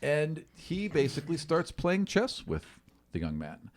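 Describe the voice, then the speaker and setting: A man's voice: on the high side, huge pitch swings, measured pace. A man improvising tabletop game narration as game master, with theatrical emphasis and conversational asides.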